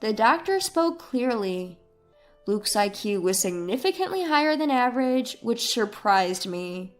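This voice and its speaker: A woman's scripted story narration is delivered in the first person. Her voice sits fairly high, with soft music underneath. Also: big pitch swings, a little slow.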